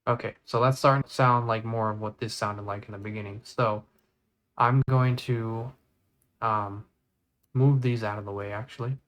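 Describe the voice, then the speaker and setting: A man giving a casual step-by-step software tutorial, informally thinking aloud as he works. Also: fairly low pitch, steady tone, normal speed. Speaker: tutorial creator. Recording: clear background noise, mouse clicks.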